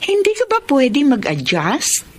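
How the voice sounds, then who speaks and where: Admonishing elder sister, fairly high voice, animated intonation, sensible, advising, radio drama. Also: female voice, measured pace, exaggerated voice. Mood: counseling. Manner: chiding, advising. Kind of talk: Tagalog radio drama acting.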